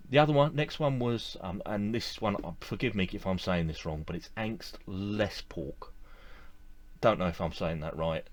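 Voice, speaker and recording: Low-voiced middle-aged man chatting enthusiastically. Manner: relaxed and enthusiastic, with many fillers and repeated words. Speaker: model railway collector vlogger. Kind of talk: casual to-camera review. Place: indoor room with display shelves.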